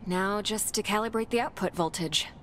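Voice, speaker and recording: A woman's voice: medium pitch, normal speed, a bit bright, lightly acted. An actress playing a capable, dry-witted woman scientist in a sci-fi video game, speaking in a matter-of-fact, understated way. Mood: focused. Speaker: player character, scientist. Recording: clear game engine and effects noise.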